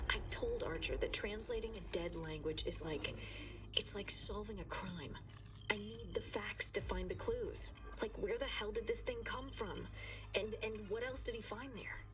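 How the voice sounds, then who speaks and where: An actress playing an exhausted, haunted woman scientist confiding in a halting, intimate audio diary for a video game. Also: lowish voice, far from the mic, close to an everyday voice.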